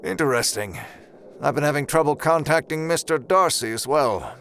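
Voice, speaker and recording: An actor playing a coolly calculating, sinister boss of a secret project in an audio drama, speaking in a measured, formal, ominous way. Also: male voice, on the high side, lively intonation, a bit bright, slight background noise.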